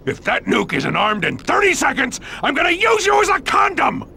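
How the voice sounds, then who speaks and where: High, barking villain boss. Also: male voice, wildly swooping pitch, moderate pace, gravelly, bright, forceful tone, slight background noise, exaggerated voice. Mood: furious, impatient. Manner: barking, explosive. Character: furious, bullying villain boss, foul-mouthed and impatient. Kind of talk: scripted game dialogue.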